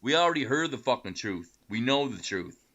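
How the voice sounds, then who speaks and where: A man's voice: mid-range voice, sing-song, moderate pace, sharp tone, muffled recording. A man taunting and daring someone in an aggressive, profane way, played back from a clip.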